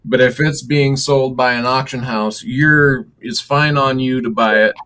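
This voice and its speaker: A man's voice: mid-range voice, steady tone, measured pace, sharp tone, clear, resonant tone, low-quality recording. A man hosting a live interview, asking questions hesitantly and repeating words as he searches for them. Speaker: host. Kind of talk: casual interview talk.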